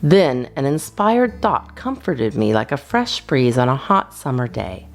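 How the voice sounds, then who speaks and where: A woman reading a children's storybook in an expressive, deliberate narrating style. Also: extremely low pitch, faint music behind. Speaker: storyteller, children's channel host.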